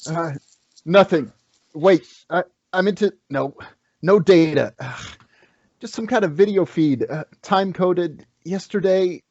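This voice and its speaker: A man's voice: high voice, measured pace, a little mellow, no echo, lo-fi sound. A man playing a jumpy, flustered soldier in an amateur table read of a horror film script.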